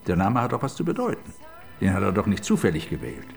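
Give me an inquisitive, playful confidant in a radio crime drama, with very lively pitch. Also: male voice, fast talker, vocal fry, soft background music, heavily acted. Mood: probing. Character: inquisitive, teasing male confidant of a female detective. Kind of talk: naturalistic German radio drama dialogue.

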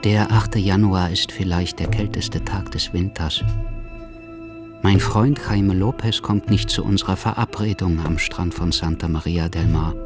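An actor playing a melancholy Cuban writer, narrating a radio drama in a reflective storytelling manner. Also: male voice, sits low, steady tone, measured pace, over music. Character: melancholy Cuban writer telling his tale, reflective man.

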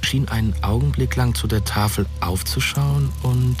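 A man's voice: medium pitch, moderate pace. A man giving scripted, dramatic narration for a German radio play. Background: prominent music.

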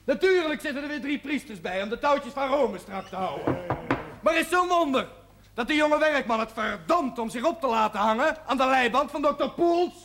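Proud young worker, very high voice, indignant and outspoken, tv period drama. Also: male voice, expressive, normal speed, pushed and bright, slight background noise, exaggerated voice. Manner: forthright, indignant. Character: proud, indignant young working man, fiercely loyal. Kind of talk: television period drama acting.

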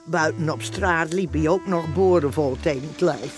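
An actor playing a storyteller who looks back on events, narrating a Dutch radio play. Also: male voice, a little high, moderate pace, music in the background, lightly acted. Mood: wistful amusement. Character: unhurried male storyteller looking back on events.

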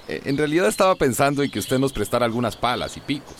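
A man plays an earnest relief doctor in a radio soap. His voice is mid-pitched and very animated, persuading over faint outdoor sounds. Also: natural voice. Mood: conciliatory. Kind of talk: radio soap opera acting. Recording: faint outdoor noise with birdsong.

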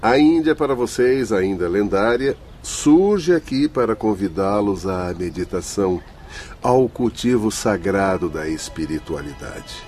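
An actor playing a genial, didactic male spirit guide in a radio drama, speaking in a formal, measured way. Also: lowish voice, expressive, darkish tone, soft background music. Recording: faint background noise.

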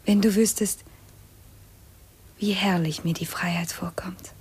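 An actress in a 1950s German radio drama playing a sad, lonely young woman, speaking softly in a husky, breathy voice. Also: fairly low pitch, steady tone, unhurried. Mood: tender, wistful.

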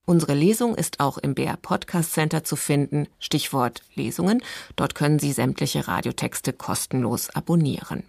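A woman's voice: low-pitched, moderate pace, creaky, a little mellow, dry recording, studio quality. A woman presenting on the radio, reading scripted narration.

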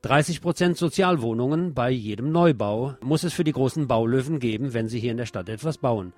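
A man's voice: medium pitch, fairly quick, darkish tone. A man presenting scripted radio news commentary, with opinionated, wry asides.

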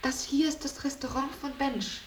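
A woman's voice: high voice, steady tone, moderate pace, natural voice. An actress playing a polite, reserved lady in a radio drama, speaking with composure. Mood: polite, composed. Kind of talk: 1980s German radio drama acting. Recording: roomy sound, faint tape hiss.

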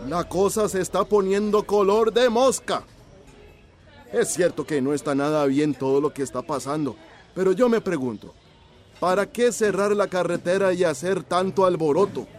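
An actor plays a mild, cautious village man in a radio drama. He has a high voice for a man and a folksy, doubtful manner, with faint music underneath. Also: close to an everyday voice. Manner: folksy, reasoning.

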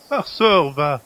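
A man's voice: high-pitched, bright, forceful tone, full character voice. A man playing a cheeky, familiar manservant in an audio drama, chatty and mocking. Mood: amused. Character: cheeky, familiar manservant who helps himself to master's luxuries. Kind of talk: French audio drama acting.

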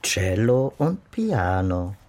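Dry probing detective, terse, pointed, lowish voice, radio crime drama. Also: male voice, big pitch swings, extremely slow, a little mellow, full character voice. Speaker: police detective.

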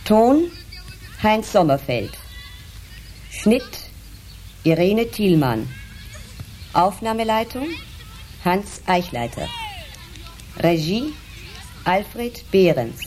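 Female announcer, medium pitch, unhurried and formal scripted credit read. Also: sing-song, darkish tone, a little hiss or hum.

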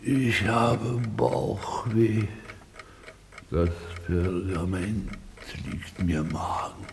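An actor playing a frail, dignified captive nobleman in his eighties in a 1950s German radio play, halting and plaintive. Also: low voice, creaky, a little hiss or hum, heavily acted. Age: 80s as played. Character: frail, dignified, whimpering 83-year-old English nobleman captive.

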